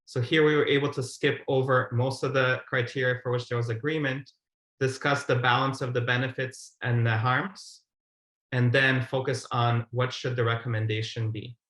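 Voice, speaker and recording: A man's voice: mid-range voice, little pitch movement, moderate pace, fairly bright tone. A man in his thirties giving an explanatory presentation as a webinar speaker on a video call. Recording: roomy, echoey video call.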